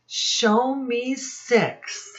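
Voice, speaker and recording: A woman's voice: fairly high pitch, extremely slow, dull audio. A woman teacher leading young children through a counting lesson in an encouraging, sing-song way.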